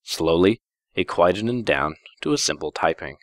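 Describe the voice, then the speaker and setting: A man narrating a first-person story in a measured, deliberate, dramatic reading style. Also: deep voice, expressive, no room sound.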